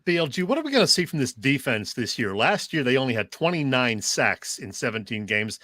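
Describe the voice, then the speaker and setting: A man's voice: medium pitch, big pitch swings, brisk, sharp tone, no echo. A man hosting a sports talk show, putting casual questions to a guest.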